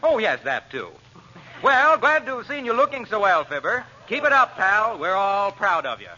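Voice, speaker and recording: An actor playing a hearty, upbeat, glad-handing friend in jovial 1940s radio comedy style. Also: male voice, high-pitched, big pitch swings, normal speed, sharp tone, full character voice. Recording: muffled vintage radio, faint noise.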